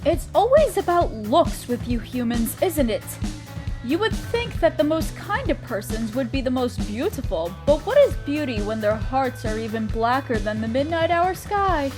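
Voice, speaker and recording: Woman voicing a young witch, high swooping voice, coy and theatrical, kindly, protective, audio roleplay. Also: big pitch swings, moderate pace, over music. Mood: disdainful, wry. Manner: theatrical, coy, old-fashioned endearments. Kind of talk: scripted roleplay audio drama.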